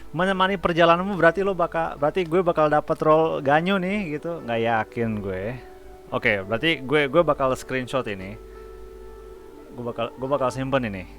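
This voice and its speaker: A man's voice: fairly high pitch, a little fast, a bit bright. A young Indonesian man giving casual, slangy let's-play commentary, chatting and thinking aloud. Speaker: gaming YouTuber. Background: faint game music.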